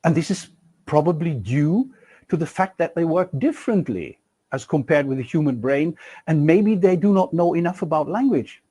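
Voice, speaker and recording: A man's voice: normal speed, husky. A middle-aged man giving a recorded university e-lecture, asking rhetorical questions and stressing words with strong swings in his delivery. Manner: animated, rhetorical questions, emphatic swings in pitch.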